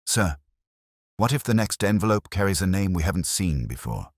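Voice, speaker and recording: A man's voice: low-pitched, big pitch swings, moderate pace, slightly rough, no echo, clean studio sound, pitched above the performer's own voice, full character voice. A man voicing an eager, deferential police sergeant, a puzzled sidekick who asks questions in a detective story.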